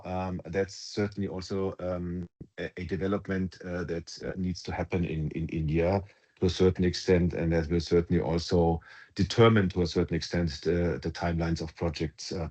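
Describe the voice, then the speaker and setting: A man with a low, level voice answers questions on a company earnings call. He talks in halting stretches, pausing on ums and restarting his phrases. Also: little pitch movement, darkish tone. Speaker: company executive answering analysts.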